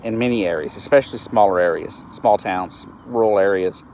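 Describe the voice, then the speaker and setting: A man in his late thirties speaks on a solo live stream with a fairly low voice. He sounds earnest and frustrated, and he often trips over his words and starts them again. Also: brisk, darkish tone, a little hiss or hum, low-quality recording. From East Texas, US. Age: 30s. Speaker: host of a live-stream show.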